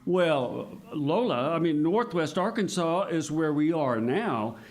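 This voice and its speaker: A man with a somewhat high voice hosts a live children's audio theater show. He delivers scripted announcements and explains things in a patient, simple way. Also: expressive, slow. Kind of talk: scripted live stage presenting and dialogue.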